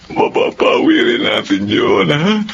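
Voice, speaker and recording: A man's voice: high voice, huge pitch swings, unhurried, creaky, full character voice. Slick, swooping, persuasive politician man. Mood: eager, persuasive. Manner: persuasive, effusive, chuckling salesman's patter. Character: smooth-talking ambitious man founding a political party. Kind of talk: Filipino radio drama acting. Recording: dull lo-fi audio with noise.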